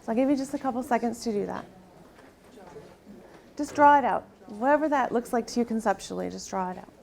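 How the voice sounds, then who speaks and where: A soft-spoken middle-aged woman giving a conference presentation, leading an audience exercise and asking "right?" often. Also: fairly high pitch, fairly quick, gravelly. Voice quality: soft-spoken, tries to project. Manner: uses frequent rhetorical "right?" tags.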